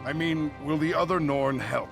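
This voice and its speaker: Gravelly, impatient dwarf. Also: male voice, fairly high pitch, moderate pace, over music. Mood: frustrated. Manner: blunt, pressing. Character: impatient, blunt, exasperated male dwarf. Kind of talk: fantasy video game acting.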